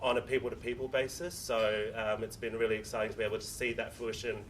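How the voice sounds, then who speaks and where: A man's voice: fairly low pitch, level tone, fast talker. A man giving brief, casual and friendly remarks to an audience. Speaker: embassy representative. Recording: distant mic with faint noise.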